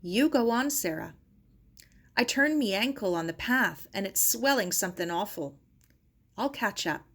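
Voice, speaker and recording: A woman's voice: medium pitch, animated, measured pace, a bit bright. A woman voicing an anxious, plucky young Cockney girl from London in a storybook reading. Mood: pained, urging. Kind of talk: acted children's audiobook dialogue.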